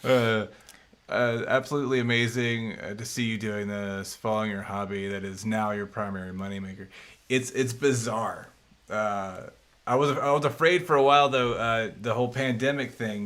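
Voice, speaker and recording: Chill guy in his 30s, kinda low voice, just hanging out reading comments. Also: fairly even intonation, normal speed, fairly bright tone. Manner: laid-back, chatty, reads viewer comments aloud. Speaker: co-host. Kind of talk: casual chat.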